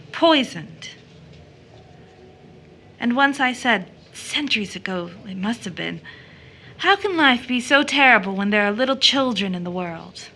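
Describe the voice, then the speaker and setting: A woman's voice: fairly high pitch, bright, forceful tone, slightly rough, heavily acted. A woman playing a tender but troubled child-loving young woman in an audio drama, earnest and theatrical. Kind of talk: audio drama stage-style acting.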